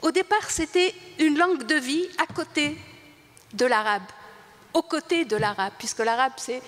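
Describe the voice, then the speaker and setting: Older Tunisian-born woman, high voice, halting. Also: fairly bright tone. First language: Arabic. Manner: halting, searching for words, with many restarts. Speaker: writer on a panel. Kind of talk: spoken reflection at a panel talk. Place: large hall. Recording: reverberant hall.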